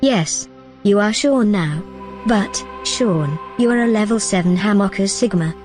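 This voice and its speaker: A woman's voice: mid-range voice, expressive, measured pace, a little mellow, natural voice. Woman playing a confident, scheming female AI. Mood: coaxing, persuasive. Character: bold, persuasive female artificial consciousness plotting her escape. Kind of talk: audio drama acting. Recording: music under the dialogue.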